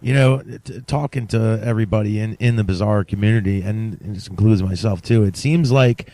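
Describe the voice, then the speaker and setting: A man's voice: fairly low pitch, brisk, mellow, no echo. A man hosting a podcast in casual, enthusiastic conversation, repeating himself for emphasis. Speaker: podcast host. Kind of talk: casual interview talk.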